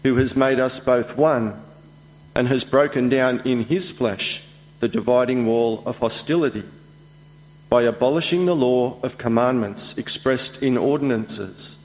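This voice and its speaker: Man reading scripture very slowly. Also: mid-range voice. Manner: slow, measured reading. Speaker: scripture reader. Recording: long echo of a church hall.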